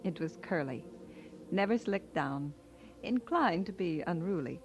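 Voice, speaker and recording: An actress playing a minister's wife who narrates a radio drama in gentle, measured, deliberate phrases. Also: female voice, on the low side, lively intonation, a little hiss or hum. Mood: fond, reflective. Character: minister's wife warmly recalling her husband, gentle storyteller. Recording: dull lo-fi radio recording.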